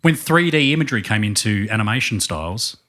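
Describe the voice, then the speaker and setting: Thoughtful, low-voiced man musing. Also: animated, a little fast, sharp tone. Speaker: podcast co-host. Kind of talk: casual film chat.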